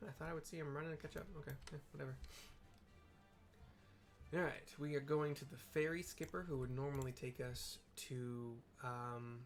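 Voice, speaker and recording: Creaky-voiced man casually commentating a game. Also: mid-range voice, fairly even intonation, soft background music. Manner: halting, informal. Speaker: gaming video host.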